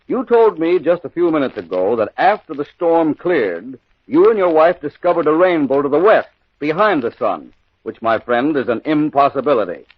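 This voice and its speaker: An actor playing a polite, skeptical police sergeant in an old-time radio mystery, questioning formally and deliberately. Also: male voice, on the high side, very animated, breathy edge, no room sound. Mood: accusing. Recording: dull lo-fi old radio recording.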